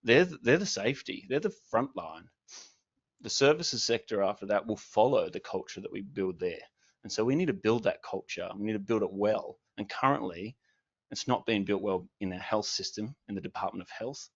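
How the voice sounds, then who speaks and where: Passionate man, low-pitched speech. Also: animated, normal speed, lo-fi sound. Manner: passionate and emphatic, with repeated words. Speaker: advocate speaker. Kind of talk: public speech at a launch event.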